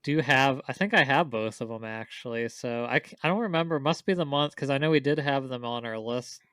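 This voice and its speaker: A man's voice: medium pitch, fairly quick, a bit bright, clear, resonant tone, no echo, clean studio sound. A man co-hosting a podcast, chatting casually about his opinions. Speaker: podcast co-host. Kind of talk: casual chat.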